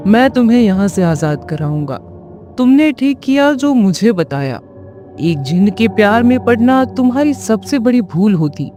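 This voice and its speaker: A man playing a gallant young prince, protective and assured, making forceful, reassuring declarations. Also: sits high, sing-song, unhurried, faint music behind. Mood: resolute.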